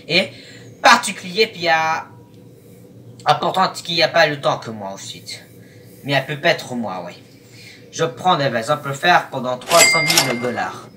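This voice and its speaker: A young man giving casual reaction commentary in a halting, rambling, disjointed way. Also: on the high side, lively intonation. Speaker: YouTube host. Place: small room at home, indoors. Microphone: webcam at arm's length or more. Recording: noisy webcam, distant from the mic.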